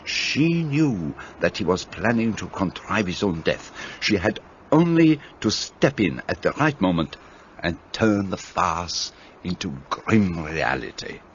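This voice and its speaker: A man's voice: deep voice, big pitch swings, slow pace, muffled recording, a little hiss or hum, full character voice. An actor playing a shrewd, fussy Belgian detective in a radio play, speaking precisely and courteously as he lays out his reasoning. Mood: explaining.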